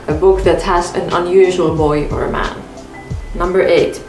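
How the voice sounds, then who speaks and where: A young woman whose first language is Finnish reads through a book tag to camera in a calm, measured way from her bedroom. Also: lowish voice, roomy sound, low-quality recording. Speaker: book vlogger. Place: bedroom in front of a bookshelf, indoors.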